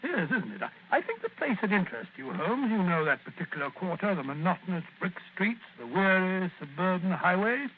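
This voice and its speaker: A genial stammering sidekick. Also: male voice, sits high, sing-song, a little fast, hardly any pauses, slight background noise. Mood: recounting. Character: amiable, bumbling male doctor companion, middle-aged. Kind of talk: 1940s radio acting. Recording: tinny lo-fi old radio recording.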